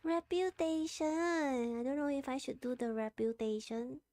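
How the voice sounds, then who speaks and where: A woman's voice: high voice, a little slow, dark tone. A woman with a Malaysian accent chatting casually as a game livestream host, playful, repeating words and dropping in local particles. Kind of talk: casual livestream chat while gaming. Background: music.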